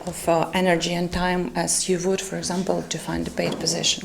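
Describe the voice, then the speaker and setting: Woman with a gravelly Ukrainian-born voice. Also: lowish voice, completely flat delivery, brisk, slight background noise. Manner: hesitant, many fillers and restarts. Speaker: panel guest. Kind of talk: studio talk show discussion. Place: TV studio.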